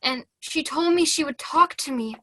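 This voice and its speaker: A young woman acting a fragile, devout and troubled young nun in a halting, childlike stage monologue. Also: high voice, pushed and bright, slight background noise. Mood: haunted, earnest. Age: teens. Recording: video call.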